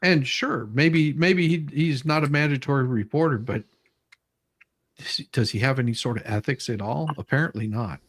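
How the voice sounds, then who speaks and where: A man, co-hosting an online show with halting, repetitive commentary and read-aloud questions. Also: medium pitch. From Southern United States. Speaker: online show co-host reading a deposition. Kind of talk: casual commentary and dramatic reading.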